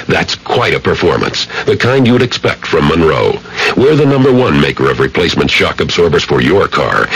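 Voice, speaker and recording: A man with a husky voice reading a 1970s radio commercial as announcer. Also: sits low, fairly bright tone, slight background noise, low-quality recording.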